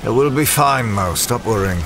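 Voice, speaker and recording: An actor playing a stubborn, reckless archaeologist adventurer in an audio drama, exasperated and sardonic with old-fashioned exclamations. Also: male voice, mid-range voice, lively intonation, moderate pace, heavy background noise, close to an everyday voice. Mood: wry. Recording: loud music behind the voice.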